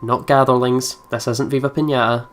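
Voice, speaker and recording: A man's voice: mid-range voice, flat delivery, moderate pace. A man doing let's play commentary and reading a game's text aloud in a chatty, reactive way. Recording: music behind the voice. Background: game music.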